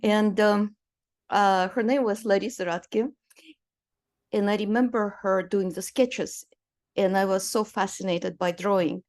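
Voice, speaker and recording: A woman in her sixties, originally from Romania, speaks as an interview guest. She talks in a storytelling way, full of small fillers and brief laughs. Her pitch sits in a middle range for a woman. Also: fairly even intonation, a little slow, darkish tone. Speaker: guest artist. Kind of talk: conversational interview.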